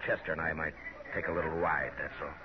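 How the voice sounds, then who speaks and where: A man's voice: rumbling low, level tone, fast. A man with an American accent playing a weary, brooding frontier marshal in a 1950s radio western. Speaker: lead actor in a western radio serial. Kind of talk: 1950s radio drama acting. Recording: muffled old 1950s radio, crowd behind.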